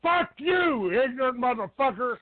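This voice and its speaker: A man's voice: very high voice, expressive, moderate pace, no echo. A man hosting a live call-in show in an abrasive, combative, foul-mouthed way. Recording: tinny phone-grade dry audio.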